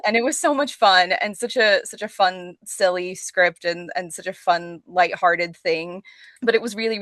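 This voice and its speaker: A woman's voice: on the low side, a bit bright, dry recording. A woman talking casually as a podcast guest, an actress with an enthusiastic, gushing manner. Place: remote video call.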